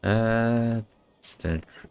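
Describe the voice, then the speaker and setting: A man's voice: fairly low pitch, very slow, creaky, noisy background. A man giving casual, wry commentary while streaming a video game, speaking drily.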